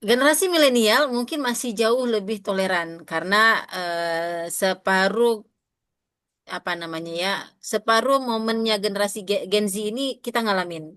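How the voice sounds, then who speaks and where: A woman's voice: mid-range voice, moderate pace, fairly bright tone, dry recording. Chatty woman lecturing teachers, dry sound. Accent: Indonesian. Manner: addresses audience often, asks questions, frequent fillers. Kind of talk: interactive teacher-training lecture.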